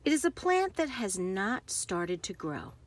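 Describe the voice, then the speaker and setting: A woman narrates a picture book for young children in a slightly husky, medium-pitched voice. Her pace is unhurried and carefully phrased, and her intonation rises and falls expressively. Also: big pitch swings.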